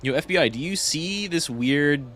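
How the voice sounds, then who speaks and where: A man's voice: on the high side, lively intonation, normal speed, a bit bright. A man with a stuffy nose giving casual, chatty commentary while playing a game, swearing and incredulous.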